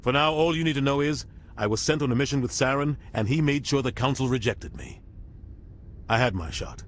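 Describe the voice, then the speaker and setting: An actor playing a weary, dutiful middle-aged military captain in a video game, speaking gravely and earnestly. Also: male voice, medium pitch, expressive, a little fast, a little hiss or hum, natural voice. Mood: regretful, resigned. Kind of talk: video game dialogue acting.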